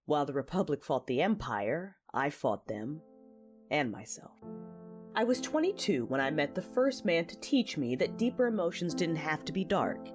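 Actress as female Jedi knight, very deep voice, introspective, intense, deliberate delivery, audio drama narration, music underneath. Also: big pitch swings, slightly rough, full character voice. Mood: brooding. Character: intense, passionate female Jedi knight recounting her past. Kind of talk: first-person audio drama narration with dialogue.

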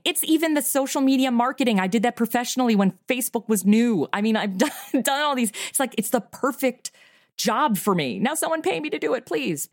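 A woman's voice: a little high, no echo, clean studio sound. A middle-aged woman talking casually as a guest in an interview. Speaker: guest, audio comedy writer and performer.

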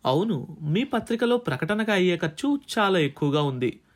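A shrewd male client in an audiobook story, voiced by a man. He bargains firmly in curt, businesslike phrases with a somewhat high voice. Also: sing-song, normal speed. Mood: cool, firm.

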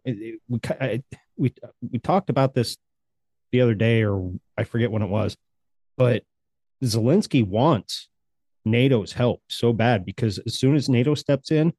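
A man's voice: mid-range voice, moderate pace, darkish tone, dry recording. Heated, profane male co-host, sarcastic rants, dry and close. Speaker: podcast co-host. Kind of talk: casual chat.

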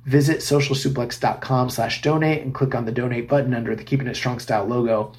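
Hoarse man hosting a wrestling podcast. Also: medium pitch, steady tone, fast, darkish tone. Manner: casual, many fillers, enthusiastic about the match. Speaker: podcast host. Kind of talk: solo wrestling podcast recap and sign-off.

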